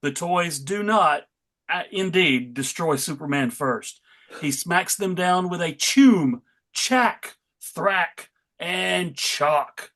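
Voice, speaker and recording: A man narrating a live comic book read in a wry, deadpan way. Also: sits high, slow.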